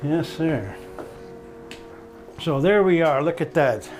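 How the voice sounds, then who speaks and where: A man's voice: fairly high pitch, lively intonation, slow, darkish tone, soft background music. A man in his seventies from Belgium, chatting casually in a folksy, agreeable way while filming a visit. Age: 70s. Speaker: home videographer and visitor chatting with a veteran. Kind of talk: casual chat.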